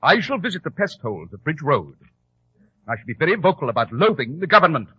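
An actor playing a shrewd, sardonic English gentleman detective with precise, lofty diction in a 1940s radio drama. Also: fairly high pitch, very animated, fast, over music. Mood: purposeful. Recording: dull, muffled old radio recording.

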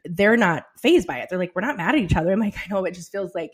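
A woman's voice: fairly low pitch, animated, quick pace, breathy edge. A middle-aged woman co-hosting a podcast, thinking aloud in a casual, reflective way and readily agreeing. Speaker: podcast co-host. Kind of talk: casual personal conversation.

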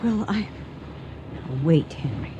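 An actress playing a trusting, devout farm wife in a radio drama, earnest, expressive and exclamatory. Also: female voice, deep voice, takes it slowly, muffled recording. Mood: excitable. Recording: noisy old radio drama recording.

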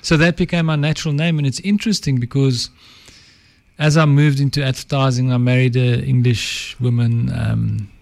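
A middle-aged South African man with an Afrikaans accent, a podcast guest talking calmly and reflectively about his life. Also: mid-range voice, moderate pace, creaky. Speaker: guest, advertising entrepreneur and author. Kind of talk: reflective interview talk.

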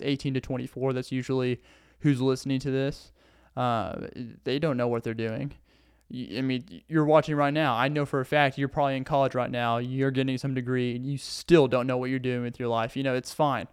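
A man's voice: medium pitch, clean tone, dry recording, clean studio sound. A young man from Arkansas with a Southern accent, hosting a casual solo podcast in a rambling, earnest way and laughing often, while sitting on the floor indoors. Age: young adult. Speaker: podcast host.

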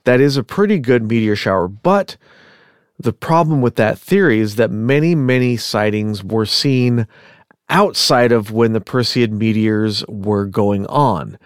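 A man hosting a podcast who is a little sick, telling a story casually with rambling asides and self-corrections, clearing his throat now and then. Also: lowish voice, unhurried, no room sound, clean studio sound. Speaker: podcast host. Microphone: podcast microphone.

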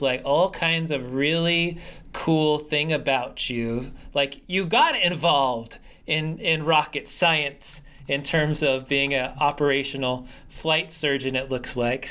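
A man's voice: a little high, fairly bright tone. Upbeat male podcast host. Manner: upbeat, enthusiastic, a bit rambling. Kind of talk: interview conversation.

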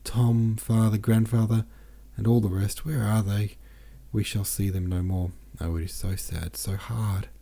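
A man's voice: on the low side, little pitch movement, unhurried, creaky, hardly any pauses, mellow. A man reading the lines of an emotional, outspoken older woman in a storybook, lightly acted in his own voice. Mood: grieving.

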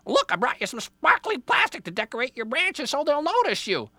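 A man voicing a frantic, scatterbrained female jaybird in a shrill squawk for a children's story. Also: huge pitch swings, a little fast, sharp tone, dry recording, heavily acted. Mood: eager.

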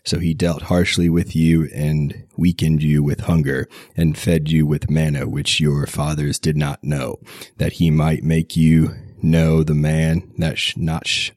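A man's voice: very deep voice, measured pace, dry recording, studio quality. A man who is getting over a cold hosts a podcast and reads scripture aloud steadily, correcting himself now and then. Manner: steady reading with self-corrections. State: recovering from a cold. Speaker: podcast host reading scripture. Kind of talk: scripture reading.

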